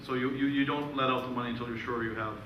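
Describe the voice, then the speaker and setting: A man's voice: medium pitch, fairly even intonation, rapid-fire, muffled recording. A man asking questions from an audience, persistently rephrasing his point. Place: echoey room at a live presentation. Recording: echoey room, distant mic, clear background noise.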